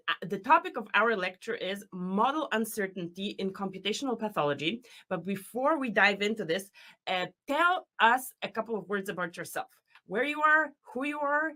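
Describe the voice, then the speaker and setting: A woman's voice: medium pitch, fairly bright tone. A woman from Poland hosting an online lecture and interviewing a guest in a friendly, chatty way. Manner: friendly, chatty, thinks aloud. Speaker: host.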